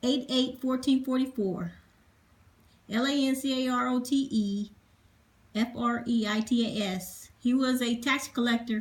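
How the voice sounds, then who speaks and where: A woman presenting history informally, reading from notes in a halting way and spelling out difficult words. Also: on the high side. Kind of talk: informal lecture reading dated notes aloud.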